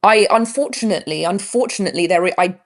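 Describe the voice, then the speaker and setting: A woman from London speaks in her thirties with a London accent, earnest and measured as an interview guest. Her voice sits at a middle pitch and she restarts her sentences from time to time. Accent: London English. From England. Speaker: guest activist. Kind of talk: interview conversation.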